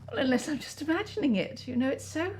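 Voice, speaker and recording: A woman's voice: sits high, expressive, quick pace, a little mellow, a little hiss or hum. A woman playing a bitter, scornful middle-aged aunt with a British accent, acerbic and dramatic, in an interactive mystery film. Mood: haunted, reflective. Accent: British English. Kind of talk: naturalistic screen acting in an interactive mystery film.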